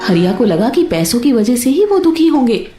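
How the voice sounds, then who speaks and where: A woman tells a story in a high, mellow voice that rises and falls expressively. The narration has a roomy echo, and soft sitar music plays behind. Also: sing-song, normal speed. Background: soft instrumental music with sitar.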